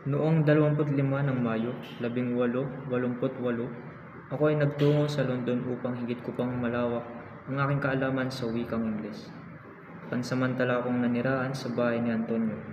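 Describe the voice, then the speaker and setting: A man's voice: mid-range voice, little pitch movement, a little fast, close to an everyday voice. A man with a Filipino accent playing an earnest young national hero, narrating his own travels in a student radio drama. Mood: earnest, solemn. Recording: muffled, noisy, echoey hall recording.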